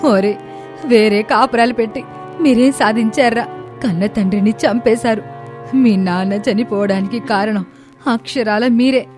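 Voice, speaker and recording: A woman's voice: a little high, measured pace, over music, close to an everyday voice. Woman acting a wounded, dignified elderly mother. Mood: grieving reproach. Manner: reproachful, emotional, emphatic. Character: wounded, dignified elderly widowed mother rebuking her sons.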